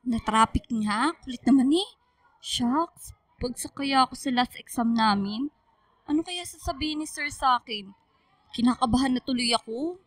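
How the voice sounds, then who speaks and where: A woman's voice: high-pitched, moderate pace, no room sound. A woman playing an anxious, testy teenage schoolgirl in a Filipino radio drama. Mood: irritated.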